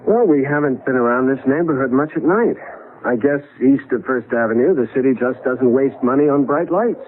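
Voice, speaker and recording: An actor playing a flustered American husband in a 1970s radio drama, halting and repeating words as he insists on logical explanations. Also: medium pitch, lightly acted. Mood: uneasy, puzzled. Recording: tinny, noisy 1970s radio broadcast.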